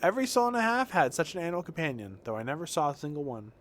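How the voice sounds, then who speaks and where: High-voiced man reading game text aloud. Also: very animated, very fast, fairly bright tone, slight background noise. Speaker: game streamer.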